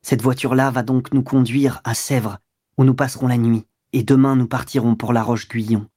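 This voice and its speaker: A reader voicing a cunning young man who calmly reassures his companion. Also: mid-range voice, moderate pace, no echo, studio quality, natural voice. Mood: reassuring. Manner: smooth, casual reassurance. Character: cunning young man, calm and self-assured. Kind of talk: audiobook character reading.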